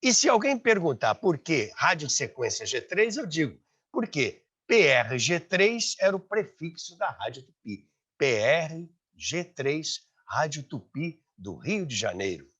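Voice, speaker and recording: A man's voice: on the high side, very animated, a little slow, a bit bright, husky. A man with a Brazilian accent hosting and narrating a documentary-style history, told as storytelling. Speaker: host and narrator of a radio history series.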